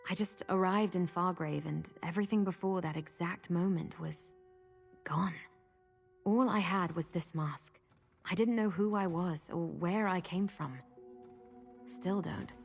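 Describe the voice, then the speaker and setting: An actress playing a guarded, plain-spoken female adventurer in a fantasy video game, informal and a little halting. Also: fairly low pitch, creaky. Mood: guarded, confiding. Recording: long reverb with music behind.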